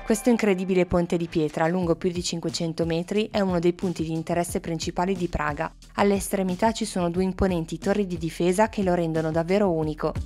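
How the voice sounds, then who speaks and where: A woman's voice: lowish voice, steady tone, fast, few pauses, warm tone, soft background music. A woman, a travel vlogger reading a scripted travel narration. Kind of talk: scripted travel narration, some casual on-location talk.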